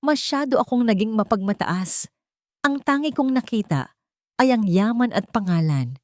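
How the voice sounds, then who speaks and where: A woman's voice: a little high, animated, moderate pace, darkish tone, dry recording, studio quality, pitched above the performer's own voice, lightly acted. A woman voicing a remorseful wealthy older mother, apologetic and pleading, her voice breaking.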